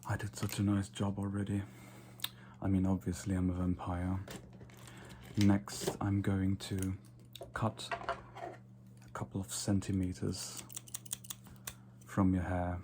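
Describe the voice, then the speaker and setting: A man playing a casual, fussy, slightly snobbish vampire barber in an ASMR roleplay, murmuring softly and drifting into whispers. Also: deep voice, completely flat delivery, slow pace, long pauses, noisy background. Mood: pleased, fussy. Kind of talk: ASMR roleplay, soft close personal attention.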